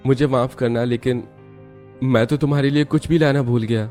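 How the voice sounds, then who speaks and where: A man's voice: mid-range voice, steady tone, normal speed, darkish tone, soft background music, close to an everyday voice. A man playing a mild, apologetic young husband in a cartoon story, speaking plainly and placatingly. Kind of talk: Hindi cartoon story dialogue.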